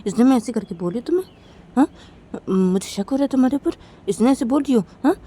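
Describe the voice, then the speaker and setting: Woman acting a mellow-voiced, suspicious, possessive wife. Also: fairly high pitch, lively intonation, quick pace, husky. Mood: coaxing, suspicious. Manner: coaxing, nagging, repetitive questioning. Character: suspicious, possessive wife, loving but insecure.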